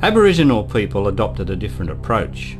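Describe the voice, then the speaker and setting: A man's voice: lowish voice, very animated, quick pace. A man reading scripted historical narration for a radio play. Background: guitar music.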